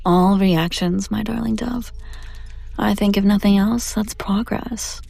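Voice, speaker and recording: A woman playing a seductive, domineering demon in an intimate audio roleplay, teasing and condescending, often slipping into breathy whispers. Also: medium pitch, fairly even intonation, a little slow, dark tone, no room sound. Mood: smug, coaxing. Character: seductive, domineering female demon buyer taunting a captive angel. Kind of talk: intimate scripted audio roleplay addressed to the listener.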